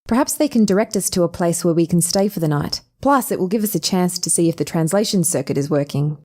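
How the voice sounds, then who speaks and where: A woman playing a lively-pitched, composed young adventuress. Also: fast talker, lightly acted. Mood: assured. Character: confident, well-spoken young female time traveller, academy student. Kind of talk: fan audio drama acting. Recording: music underneath.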